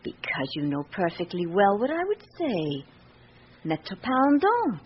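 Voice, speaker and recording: An actress playing a candid but guarded young household employee in a radio drama, measured and matter-of-fact. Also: female voice, medium pitch, huge pitch swings. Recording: muffled, faintly noisy radio recording.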